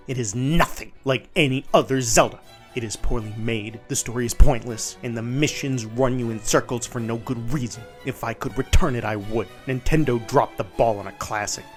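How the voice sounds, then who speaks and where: A man's voice: mid-range voice, pitched below the performer's own voice, natural voice. A man voicing a bitter, disgusted gamer in a terse, grumbling, dismissive dramatic reading. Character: bitter, disgusted, low-voiced disappointed gamer. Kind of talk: dramatic reading of a written review.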